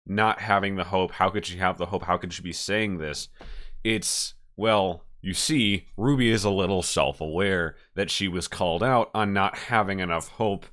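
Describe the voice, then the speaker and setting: A man hosting a reaction video with casual, unscripted commentary, halting and emotive, often repeating his phrases. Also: deep voice, fairly bright tone.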